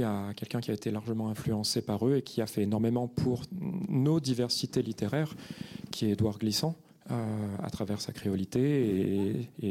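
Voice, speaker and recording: A man's voice: mid-range voice, creaky, a little mellow. A man moderating a literary panel discussion, asking long, careful questions with frequent hesitations. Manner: measured, hesitant with many fillers.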